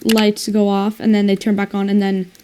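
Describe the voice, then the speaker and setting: A woman's voice: mid-range voice, monotone, normal speed, darkish tone, slight background noise. A woman narrating her video editing in a casual, rambling way, thinking aloud and exclaiming to herself. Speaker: video editor and creator narrating her screen work.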